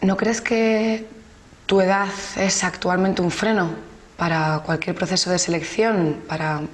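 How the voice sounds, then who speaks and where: A woman playing an interviewer in a short video, questioning calmly with slight hesitations. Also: lowish voice, unhurried.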